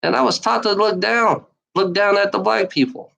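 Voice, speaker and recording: A woman's voice: mid-range voice, moderate pace, gravelly, a bit bright. A woman delivering an angry, profane monologue to the camera, repeating phrases and restarting sentences often. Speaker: online commenter.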